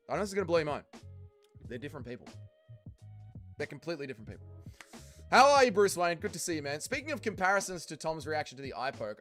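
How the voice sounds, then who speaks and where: A man's voice: fairly high pitch, a bit bright. A young man, hosting a casual livestream and bluntly arguing with chatters he reads out. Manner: heated, blunt, calls chatters trolls. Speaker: livestream host reading chat.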